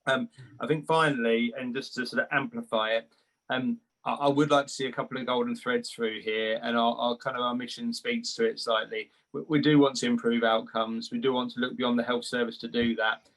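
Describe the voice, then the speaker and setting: A man talks off the cuff as a panellist in a mid-pitched voice. His intonation stays quite flat, and he proceeds haltingly, repeating words as he works out each thought. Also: low-quality recording. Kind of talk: unscripted panel remarks.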